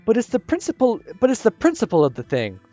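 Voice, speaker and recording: A man voicing an excitable, dramatic teenage girl from a visual novel, whining and gushing. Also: high voice, quick pace, no room sound, voice pushed up, exaggerated voice. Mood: whiny gushing. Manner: loud, whiny, gushing. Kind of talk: reading a visual novel aloud. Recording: slightly muffled with soft music.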